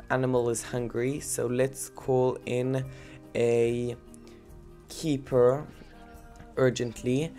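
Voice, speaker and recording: A young man with a blocked, stuffy nose from a cold, giving rambling, giggly gameplay commentary. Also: medium pitch. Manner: rambling, giggly, many fillers. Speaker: let's-play gamer.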